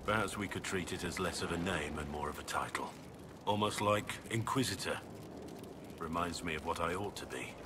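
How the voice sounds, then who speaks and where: An actor playing a gruff, weary middle-aged soldier in a video game, grave, measured and earnest, full of remorse and resolve. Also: male voice, low-pitched, noisy background, close to an everyday voice. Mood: calm, reflective. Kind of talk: dramatic video game acting.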